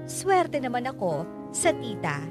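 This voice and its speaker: A woman's voice: a little high, sing-song, a little slow. A woman acting a reflective young Filipino student who narrates her life story in a scripted radio drama. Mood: reflective, wistful. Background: piano music.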